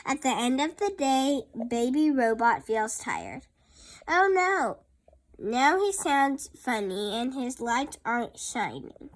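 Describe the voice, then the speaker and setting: High-voiced young girl reading slowly. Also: sing-song. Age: child. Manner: careful, halting word-by-word reading. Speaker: young host reading picture books aloud. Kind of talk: storybook read-aloud.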